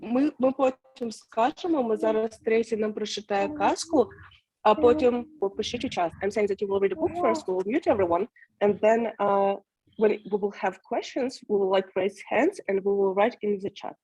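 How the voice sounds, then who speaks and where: A woman, a native Ukrainian speaker, hosting and interpreting informally on a video call in a halting, hesitant way. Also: medium pitch, dark tone, husky, poor audio. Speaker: host and interpreter.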